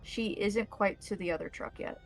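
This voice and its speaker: A woman's voice: medium pitch, normal speed, gravelly, soft background music. A woman acting as game master, explaining and describing scenes in detail for a tabletop role-playing game.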